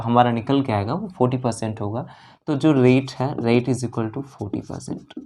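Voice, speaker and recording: An Indian maths teacher with a medium-pitched voice takes learners through an exam problem. The delivery is explanatory and methodical, going one step at a time. Key numbers and phrases get repeated, English maths terms are mixed in, and short check-ins come often. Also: darkish tone.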